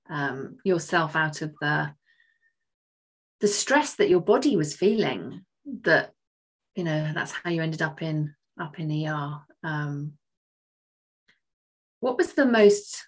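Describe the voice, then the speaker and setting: A woman hosting a podcast over a video call, affirming her guest and asking long, rambling questions. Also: low voice. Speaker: podcast host. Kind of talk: interviewing a guest.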